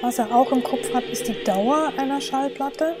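Female expert, higher-than-average pitch, measured, articulate, explanatory, clear music behind. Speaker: expert commentator. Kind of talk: explanatory, lecture-like talk.

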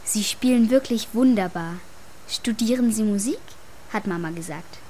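A woman's voice: mid-range voice, moderate pace, warm tone, a little hiss or hum, lightly acted. A female performer playing an earnest, insistent young girl in a German children's radio play. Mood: eager, playful.

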